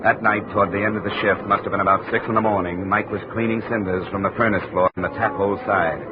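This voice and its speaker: An actor narrating and playing a wry, tough insurance investigator in a 1950s radio drama. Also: male voice, on the low side, fairly even intonation, fast, heavy background noise, natural voice. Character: hard-boiled male insurance investigator. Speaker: narrator and lead. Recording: dull 1950 radio broadcast audio.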